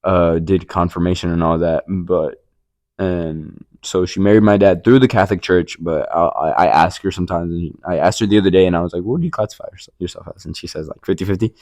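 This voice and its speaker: Young guy, super low voice, rambly and casual, lots of "like", dry sound. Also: steady tone, fairly quick, a little mellow, no echo. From Puerto Rico. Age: young adult. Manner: rambling, many fillers and restarts. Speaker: podcast co-host. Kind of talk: casual testimony chat.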